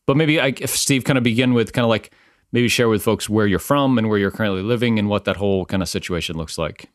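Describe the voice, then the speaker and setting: A man's voice: fairly low pitch, fast talker, no room sound, studio quality. A man hosting a podcast, chatting casually with a guest and often restarting his sentences. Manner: chatty, lots of fillers and restarts. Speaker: podcast host. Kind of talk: casual conversational interview. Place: home in a small village.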